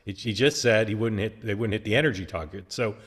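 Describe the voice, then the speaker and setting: A man's voice: fairly low pitch, fast, breathy edge. A man hosting a political talk show in a casual, easygoing way, leading the talk and putting questions to his co-hosts, with frequent filler sounds. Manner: leads the discussion and poses questions to co-hosts.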